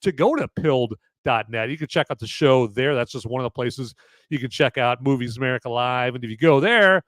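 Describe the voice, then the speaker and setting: A man's voice: mid-range voice, a little fast, no echo. Rambling male movie reviewer, dry close mic. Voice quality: loud voice that carries. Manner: rambling, filler-heavy, with frequent restarts. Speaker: podcast host reviewing a movie. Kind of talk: casual live-stream movie review.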